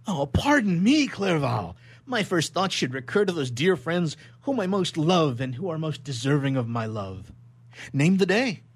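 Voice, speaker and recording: A man's voice: a little high, big pitch swings, a little slow, husky, full character voice. A man playing an obsessed young scientist in a radio drama, speaking in a grandiose, florid theatrical manner. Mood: apologetic.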